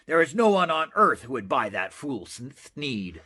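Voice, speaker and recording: A man voicing a short, indignant old forest creature from a storybook, sharp, bossy and scolding. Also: mid-range voice, big pitch swings, unhurried, breathy edge, heavily acted.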